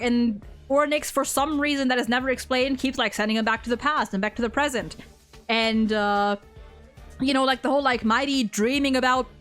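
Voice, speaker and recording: Female host, higher voice, off-the-cuff and emphatic chat with rambling tangents, light music underneath. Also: fairly bright tone. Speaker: host of a video game story ranking discussion. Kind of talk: casual opinionated chat, unscripted.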